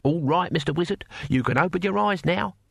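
A man voicing a very old barbarian warrior in a comic fantasy audiobook, cheerfully menacing, cackling and rough-spoken. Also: fairly high pitch, brisk, no echo, clean studio sound, voice pushed up. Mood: weary, boastful. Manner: cackling, rough colloquial speech.

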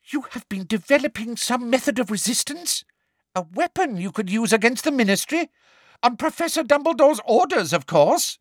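Falsely motherly and cruel, a sharp-tongued middle-aged official, as a man reads her in a high, prim voice that swoops up and down. Every word lands close and dry, with no room around it. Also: big pitch swings, moderate pace, no echo, heavily acted.

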